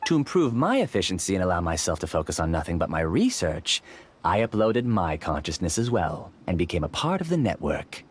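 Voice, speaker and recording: A deep, courteous, calculating scholar. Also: male voice, sing-song, brisk, slight background noise. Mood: wistful, confessional. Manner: courteous, theatrical, smooth-talking. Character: polite, calculating male scholar, obsessed and grieving. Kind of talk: video game voice acting.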